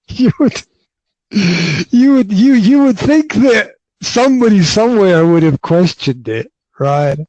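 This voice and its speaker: A man from the north of Scotland with a Scottish accent, hosting a casual podcast chat indoors in his flat, often restarting his sentences and laughing. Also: high voice, takes it slowly, no room sound, muffled recording. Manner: stammering restarts, frequent laughter. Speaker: podcast host.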